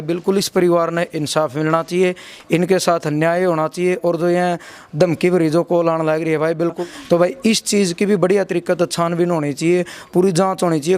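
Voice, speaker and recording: A man's voice: high-pitched, a little mellow. A man with a Haryanvi accent working as a reporter, interviewing people and restating their accounts in a repetitive, brotherly way of addressing listeners. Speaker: local reporter interviewing a family. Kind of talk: on-location interview with spoken summaries.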